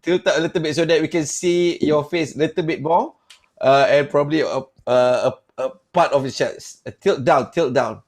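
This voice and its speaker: A man's voice: a little high, moderate pace. A man hosting an online panel show, chatty and joking, often calling his guests mate. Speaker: host.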